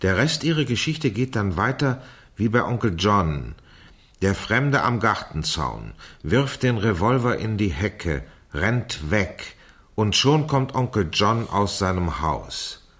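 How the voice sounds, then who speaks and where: A man's voice: fairly low pitch, sing-song, slow, fairly bright tone, husky, exaggerated voice. An actor playing an astute man in a German radio crime drama, wry and theatrical in his emphasis. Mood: wry, reading out.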